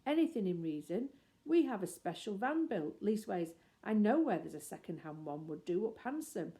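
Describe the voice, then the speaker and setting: A rough fairground woman, as a female narrator plays her, slangy and wheedling, pitched a little up. She haggles excitably in working-class storybook style. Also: moderate pace. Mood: excited, bargaining.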